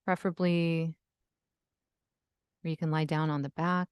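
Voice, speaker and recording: A woman leading a guided yoga nidra relaxation, giving gentle, soothing instructions with long pauses. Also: low-pitched, flat delivery, clear, resonant tone, no room sound, studio quality. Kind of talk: guided meditation.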